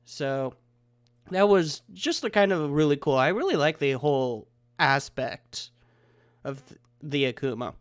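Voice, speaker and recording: A congested man with a fairly high voice hosts a relaxed podcast chat, recorded remotely over the internet. He talks eagerly and often trails off mid-thought. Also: a little slow, smooth. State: congested from a head cold. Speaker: podcast host.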